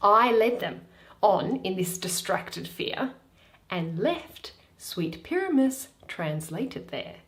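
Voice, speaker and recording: A woman's voice: medium pitch, very animated, slow, fairly bright tone. A woman giving a theatrical solo reading of a Shakespeare play, announcing each character before the lines. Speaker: reader of a play, channel host.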